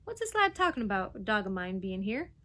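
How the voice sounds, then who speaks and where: Woman reader puts on a puffing old duke, loud and indignant, broad country speech. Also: mid-range voice, very animated, brisk. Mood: blustering. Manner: puffing, sputtering, broad dialect. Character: gruff blustering old male duke, hard of hearing.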